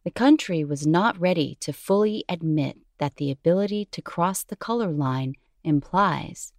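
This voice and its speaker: A woman narrating a scripted educational piece in a measured, articulate way. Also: on the low side, animated, a little mellow, no echo, clean studio sound.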